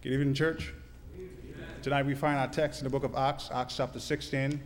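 A man's voice: mid-range voice, level tone, a little fast. A man in his early twenties with a Bahamian accent, speaking to a congregation as interpreter and testimony-giver. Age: early 20s. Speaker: church interpreter and guest preacher. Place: church hall. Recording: echoey church hall, long reverb.

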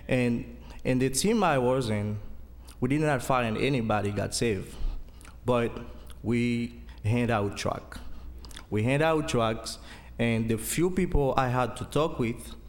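A man from Haiti with a Haitian accent giving a church testimony as a student, in an earnest, halting way as he searches for words. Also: mid-range voice. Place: church hall. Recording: long hall reverb.

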